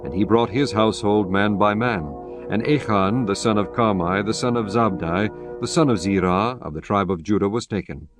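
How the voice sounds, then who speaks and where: Low voiced guy narrating the bible, slow and solemn, faint music under. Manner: measured, solemn, formal. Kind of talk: scripted scripture narration.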